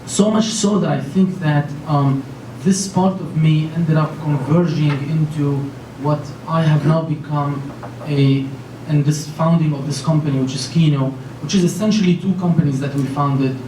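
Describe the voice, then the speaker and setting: A man from Lebanon talks on a panel, earnest and rambling, with lots of fillers and restarts. His voice sits a little high but sounds mellow. He is picked up from some distance in a roomy, echoing hall with a faint hiss behind him. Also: fairly even intonation, moderate pace, few pauses. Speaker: panelist, company founder. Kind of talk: panel talk. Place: large conference room with an audience. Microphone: handheld mic. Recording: echoey room from far off, faint noise.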